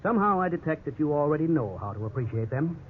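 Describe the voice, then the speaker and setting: An actor playing an upbeat, evasive real estate salesman in a 1950s radio drama, with smooth, ingratiating sales patter. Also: fairly high pitch, sing-song, fast. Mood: salesy, upbeat. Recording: tinny, hissy 1950s radio recording.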